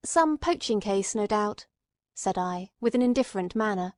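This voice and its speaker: A woman narrator reads a classic novel in a dry, close sound. Her delivery is measured and literary, with a medium-pitched voice that moves into acted dialogue. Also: expressive, no echo.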